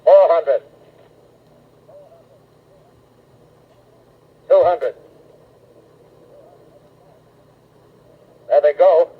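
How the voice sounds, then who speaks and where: Over a thin, crackly 1930s aircraft radio, an American bomber lieutenant. His fairly high voice delivers grave, clipped military reports in old radio drama style. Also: normal speed, breathy edge, phone-quality sound. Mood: tense, steady. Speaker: bomber pilot.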